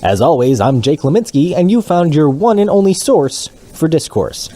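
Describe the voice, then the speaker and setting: Smug, animated male news anchor played by an actor. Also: a little high, moderate pace. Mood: smug, wry. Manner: pompous, sarcastic. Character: smug, sarcastic male local news anchor, privileged and condescending. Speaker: news anchor in a comedy audio drama. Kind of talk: mock newscast delivery.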